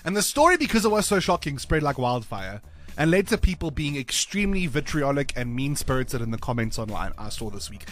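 A South African man hosting a breakfast radio show, chatting in a lively, expressive way and laughing easily. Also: medium pitch, fairly quick, faint music behind. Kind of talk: casual radio chat. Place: radio studio. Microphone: studio microphone.

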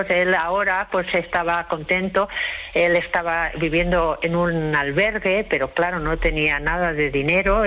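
A tired woman interviewed by phone, giving a personal account in a halting, reflective way. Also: deep voice. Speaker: interview guest, host to migrants. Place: remote phone call. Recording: muffled, band-limited phone call.

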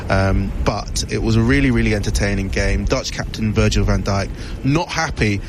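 A man's voice: on the low side, moderate pace, background noise. A man presenting a sports news report, talking fluently but with frequent "uh" fillers.

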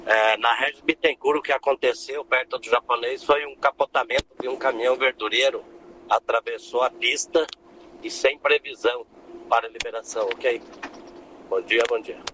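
A man's voice: mid-range voice, fairly even intonation, normal speed, sharp tone, rough recording. A man from Santa Catarina with a Brazilian accent, casually reporting traffic in a voice message from the road. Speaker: radio listener sending a voice message. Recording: voice message with faint traffic noise.

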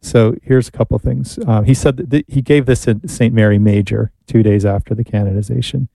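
A middle-aged man with an American accent co-hosting a talk show, reminiscing casually in a halting, reflective way. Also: on the low side, steady tone, gravelly, dark tone, dry recording.

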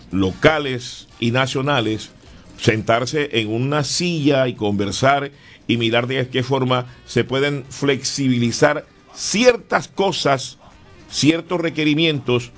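Man, radio host, earnest, measured, faint background music. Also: mid-range voice. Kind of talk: radio opinion commentary.

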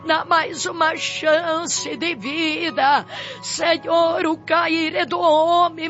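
A woman's voice: extremely high pitch, a bit bright. A Brazilian woman leading a fervent intercessory prayer as a preacher, pleading tearfully in short phrases. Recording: dull lo-fi audio with music. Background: music.

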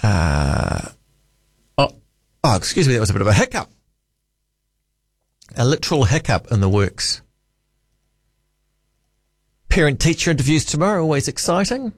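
A man's voice: medium pitch, big pitch swings, normal speed, long pauses, no room sound, studio quality. A man with a New Zealand accent hosting a late-night talk radio show, chatty and wry, musing aloud. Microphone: studio microphone.

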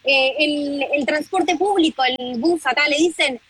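Bright high-voiced Argentine woman reporting. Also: no echo, poor audio. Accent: Argentine Spanish. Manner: brisk, informative, frequent filler "eh". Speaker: reporter covering the tournament. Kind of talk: live field report.

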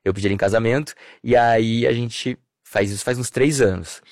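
A Brazilian man in his thirties, an interview guest, telling childhood memories in a warm, nostalgic way with many fillers. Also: mid-range voice, brisk, no room sound, studio quality. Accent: Brazilian Portuguese. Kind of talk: relaxed personal conversation.

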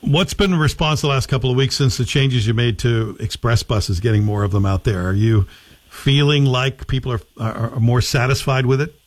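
A man's voice: mid-range voice, steady tone, normal speed. A man with a Canadian accent, interviewing conversationally as host of a morning radio show.

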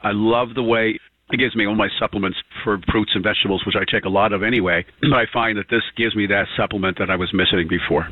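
A man explains things in a brisk, assured way, with a low voice. The sound is dry and thin, like a phone line. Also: fairly even intonation, dry recording. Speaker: customer giving a testimonial.

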